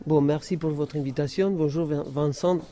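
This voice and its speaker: A man from Argentina with an Argentine Spanish accent, introducing himself as a guest in a radio discussion, pausing to find his words. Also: fairly high pitch, steady tone, mellow, a little hiss or hum. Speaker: invited guest, radio maker.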